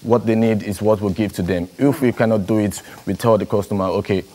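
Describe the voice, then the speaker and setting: A man's voice: on the low side, brisk. A young man, a business owner guest, talking casually with lots of "you know". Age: young adult. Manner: relaxed, filler-heavy, "you know". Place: TV studio. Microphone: lapel.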